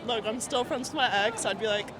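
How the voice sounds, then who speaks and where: A woman's voice: fairly high pitch, brisk, over music, a crowd in the background. A young woman from the United States, interviewed on the street, speaking hesitantly and trailing off. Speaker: university student, interviewee.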